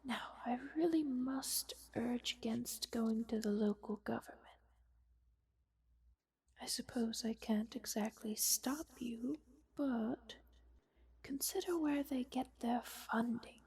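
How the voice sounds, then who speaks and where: Woman as a slow, whispering, haughty vampire countess. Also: fairly high pitch, heavily acted. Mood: guarded, coolly persuasive. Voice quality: soft, breathy, whispered. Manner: formal, measured, aristocratic, wry. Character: ancient, youthful-looking vampire countess, haughty, coolly bargaining. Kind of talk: whispered fantasy roleplay ASMR.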